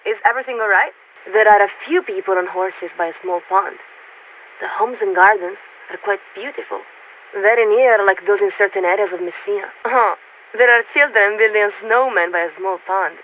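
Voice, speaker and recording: Measured warship officer over tinny radio. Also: female voice, mid-range voice. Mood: checking, concerned. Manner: measured, formal, articulate, speaks as "we". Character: composed, courteous, idealistic coalition warship officer speaking by radio. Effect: radio transmission filter. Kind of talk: video game voice acting. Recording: narrow tinny radio link with static.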